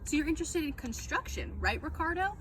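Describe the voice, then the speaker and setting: A woman's voice: high voice, lively intonation, a little fast, noisy background, voice pushed up. A woman voicing a kind but firm schoolteacher of young children in a storybook, speaking in an encouraging, orderly way.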